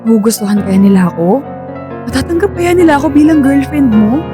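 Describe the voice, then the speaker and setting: A woman's voice: high voice, expressive, fairly quick, music in the background. An actress playing a shy, self-doubting young woman, hesitant, in a Filipino radio drama. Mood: doubtful, worried. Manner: hesitant, insecure.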